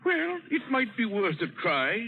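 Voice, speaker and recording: An actor playing a grumbling, gouty, blustering colonial governor in an old-time radio drama. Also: male voice, sits high, wildly swooping pitch, gravelly, soft background music, heavily acted. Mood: grumbling, fussy. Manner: blustery, fussy, drawn-out delivery. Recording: tinny, band-limited vintage radio broadcast.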